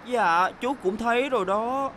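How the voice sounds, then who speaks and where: A small, friendly little bus with a high, childlike voice. He is playful and loyal to his friends in this children's cartoon. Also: measured pace, fairly bright tone, soft background music. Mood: worried. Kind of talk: Vietnamese cartoon dub.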